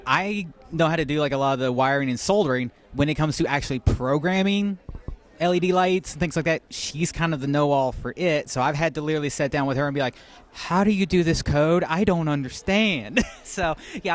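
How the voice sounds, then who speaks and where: A relaxed woman talking through her cosplay builds at a convention. Her voice sits fairly low for a woman, and she keeps things easygoing, poking fun at her own skills. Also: a little fast. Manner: laid-back, laughs at herself. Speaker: cosplayer guest. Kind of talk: casual interview answers. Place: at a fan convention.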